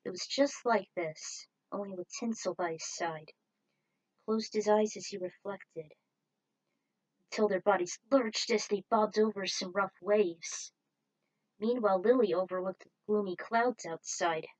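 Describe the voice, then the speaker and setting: A woman's voice: mid-range voice, unhurried, a little mellow, dry recording, low-quality recording. A woman reading a scripted story aloud as its narrator, with the odd stumble over a word. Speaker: narrator reading fan fiction.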